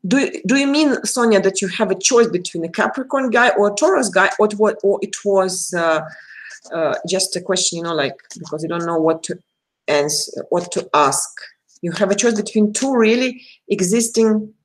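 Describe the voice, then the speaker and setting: A woman with a Russian accent hosts a casual live stream and reads tarot cards for her viewers. She chats freely, laughs often and greets people by name. Her pitch sits in the middle range. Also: normal speed, poor audio. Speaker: tarot reader.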